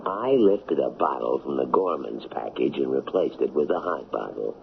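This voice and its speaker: A scheming, menacing drug smuggler in a radio play. His voice is rock-bottom low and full of gravel, and he talks in slangy, brash bursts. The old broadcast sounds muffled. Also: lively intonation, moderate pace, a little hiss or hum, full character voice. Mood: sly, boastful. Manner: slangy, brash street-tough talk. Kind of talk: radio drama acting.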